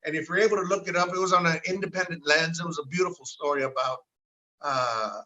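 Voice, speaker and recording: Bright, high-voiced man telling a rambling story. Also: fast talker, rough recording. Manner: rambling storyteller, mixes Spanish words. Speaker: podcast guest, bar owner. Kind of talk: casual podcast conversation.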